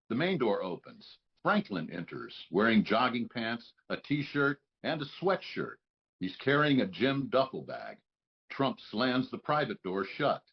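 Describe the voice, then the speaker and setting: Male narrator for a table read: lower-pitched, rough husky tone, reading scene descriptions, slightly muffled lo-fi sound. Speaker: stage directions narrator.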